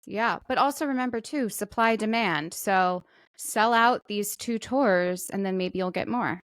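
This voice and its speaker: A woman's voice: mid-range voice, unhurried, a bit bright, dry recording. A woman co-hosting a casual talk show, telling stories conversationally and acting out quotes as she goes. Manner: conversational storytelling with quoted asides. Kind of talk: casual chat, retelling a viral story.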